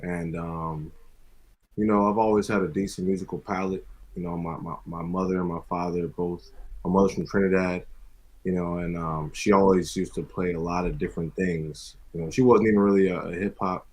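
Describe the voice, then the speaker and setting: A man's voice: sits low, fairly even intonation, fairly quick, a little mellow, low-quality recording. A man from Maryland and Florida chatting casually as an interview guest, laid-back, often saying "you know.". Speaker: guest music producer.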